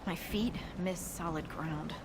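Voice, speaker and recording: A woman's voice: fairly low pitch, takes it slowly, background noise. A woman playing a plainspoken dwarf scout in a video game, casual and understated with dry humour. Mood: dry, easygoing. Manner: casual, understated, dryly humorous.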